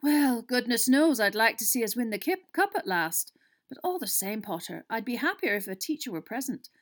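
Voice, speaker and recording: A woman voicing a stern, serious female schoolteacher in an audiobook, speaking with grave, deliberate authority. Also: on the high side, big pitch swings, voice pushed up. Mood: measured concern. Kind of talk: audiobook character voice.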